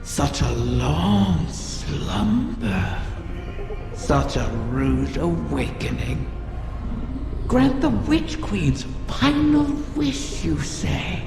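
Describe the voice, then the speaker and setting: An actress playing an ancient, scornful female wish-dragon who talks slowly and mockingly. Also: deep voice, very animated, creaky, exaggerated voice. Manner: slow, mocking, drawn-out. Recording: echoey cavern with long reverb. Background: music.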